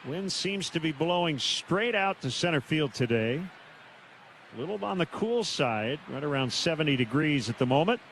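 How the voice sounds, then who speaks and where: A man's voice: on the high side, expressive, moderate pace, fairly bright tone, poor audio. A man doing live baseball play-by-play as a radio announcer from a stadium broadcast booth. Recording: clear noise behind the voice. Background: outdoor ambient stadium noise.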